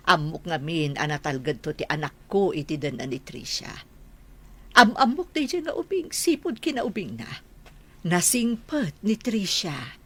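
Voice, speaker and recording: Husky, loving Ilocano mother. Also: on the low side. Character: loving, self-sacrificing older mother. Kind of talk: Filipino radio drama acting.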